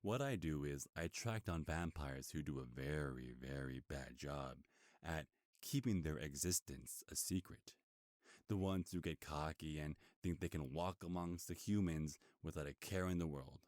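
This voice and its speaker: Werewolf bounty hunter, played by a male ASMR actor: rock-bottom pitch, cocky, teasing, menacing, very dry sound. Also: darkish tone, no echo. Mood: smug, explanatory. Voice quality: booming deep voice. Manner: smug, slow teasing menace. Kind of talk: ASMR roleplay acting.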